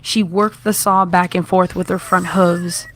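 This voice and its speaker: A woman's voice: lowish voice, level tone, unhurried, crowd noise. A woman reading out a horror story as scripted narration. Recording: music playing behind the voice.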